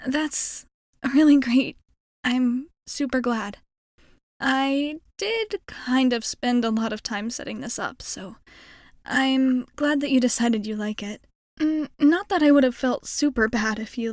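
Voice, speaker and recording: A woman's voice: fairly high pitch, takes it slowly, a little mellow, no echo, clean studio sound. A woman playing a shy, nervously hopeful young alien space traveler in an ASMR roleplay, rambling hesitantly and putting herself down. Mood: relieved, glad. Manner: hesitant, rambling, self-effacing. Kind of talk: ASMR roleplay, intimate character monologue.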